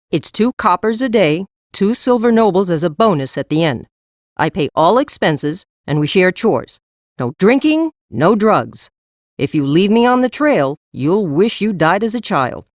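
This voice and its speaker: A woman's voice: on the low side, no room sound, studio quality. A woman acting a tough but kindly horse trader in a full-cast audiobook, blunt, practical and no-nonsense.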